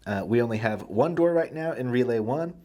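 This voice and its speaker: A man's voice: mid-range voice, lively intonation, fairly quick, darkish tone. Male tutorial presenter, mid-range voice, plain-spoken and casual, guiding viewers through a product step by step.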